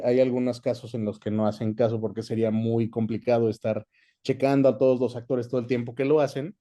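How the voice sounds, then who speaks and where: Male, Mexican accent, soft-edged dark timbre, medium pitch, conversational, interview guest. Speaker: guest, dubbing actor and director. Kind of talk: casual interview chat, recounting experience.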